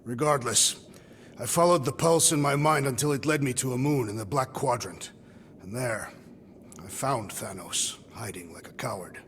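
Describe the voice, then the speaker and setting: An actor playing a solemn, proud alien warrior in a video game cutscene, speaking formally and gravely. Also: male voice, medium pitch, normal speed, a bit bright, in a large hall, a little hiss or hum, lightly acted. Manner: formal, grave, literal-minded diction.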